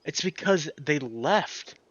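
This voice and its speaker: A man's voice: a little high, lively intonation, takes it slowly, fairly bright tone, muffled recording, slight background noise. A man giving casual, emotional gameplay commentary as a let's play host, effusive and exclamatory, drawing out his words over game music.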